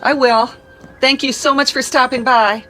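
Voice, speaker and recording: An anxious mother played by an actress in a radio drama. Her voice is fairly high, cautious and softly insistent. Light music plays behind her. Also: fairly even intonation, moderate pace, close to an everyday voice, rough recording. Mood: worried. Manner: worried, gently insistent. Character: anxious, cautious, fussing mother of a teenage boy.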